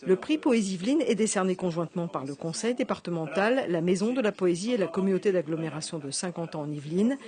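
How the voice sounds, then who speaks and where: A woman presenting local TV news and interviewing a guest in the studio, reading clearly with a few fillers. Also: lowish voice, animated, fast talker, few pauses, mellow.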